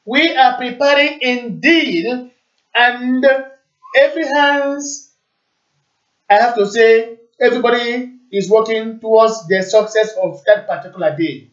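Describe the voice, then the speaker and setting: Male Igbo Nigerian talk host, high voice, formal announcing delivery, heard through a distant, muffled stream. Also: measured pace, a bit bright. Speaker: radio call-in show host. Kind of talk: live broadcast announcing and answering callers. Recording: echoey, distant, muffled internet radio stream.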